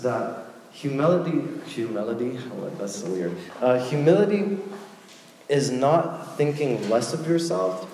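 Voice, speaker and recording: A young man preaching to a youth group in a casual, joking way, with lively asides. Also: mid-range voice, a little hiss or hum. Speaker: youth pastor. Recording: echoey hall with faint ambience.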